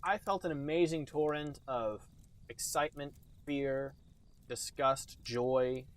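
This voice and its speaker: A man's voice: medium pitch, slow. A man hosting a podcast in casual, sarcastic comedic banter, joking in a hammy way. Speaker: podcast host.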